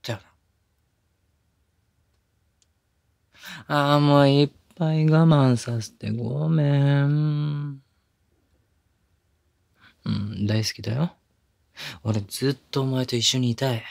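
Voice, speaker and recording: A man's voice: medium pitch, slow pace, gravelly, long pauses, darkish tone. A man playing a smitten, apologetic young boyfriend in an intimate ASMR voice drama, speaking casually and tenderly. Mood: earnest, relieved.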